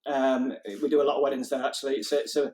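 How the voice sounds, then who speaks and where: A man's voice: mid-range voice, completely flat delivery, very fast, a little mellow. A man, a wedding photographer chatting casually and telling anecdotes with the odd laugh.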